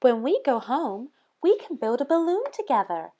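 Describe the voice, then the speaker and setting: Woman voicing an eager, high-voiced young princess. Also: sing-song, normal speed, mellow. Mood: reassuring. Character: eager, kind, curious young storybook princess.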